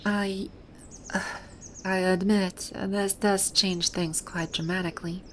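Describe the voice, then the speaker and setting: A woman's voice: mid-range voice, flat delivery, slow pace, slight background noise, lightly acted. A woman voicing a haughty, bossy video-game villainess who stammers and halts when flustered, in an ASMR roleplay. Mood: softened, wondering. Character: haughty, flustered female video-game boss brought to life. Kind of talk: ASMR roleplay audio drama.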